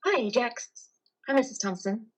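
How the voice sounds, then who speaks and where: A woman's voice: on the high side, lively intonation, normal speed. A woman playing a friendly, encouraging psychiatrist in a dramatic play reading. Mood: friendly, reassuring.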